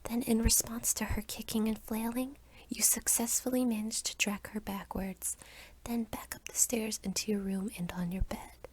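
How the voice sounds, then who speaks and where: A young adult woman reading a scripted second-person story as ASMR in a soft, whispery voice. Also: fairly high pitch, steady tone, moderate pace, husky. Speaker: roleplay narrator.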